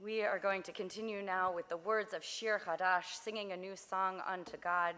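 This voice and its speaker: A young woman with a slightly hoarse voice, leading a worship service in a chatty, friendly way with many fillers. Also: mid-range voice, fairly even intonation, normal speed, bright, forceful tone. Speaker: service leader. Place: synagogue sanctuary. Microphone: body mic. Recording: long reverb of a sanctuary.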